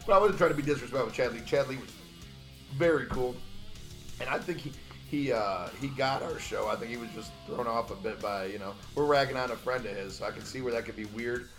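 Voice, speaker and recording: A man co-hosts a podcast, telling stories in a rambling, halting way with frequent restarts. His voice sits at a middle pitch. Music plays behind him. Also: a bit bright. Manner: rambling, hesitant storytelling with restarts. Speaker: podcast co-host. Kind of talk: casual podcast chat.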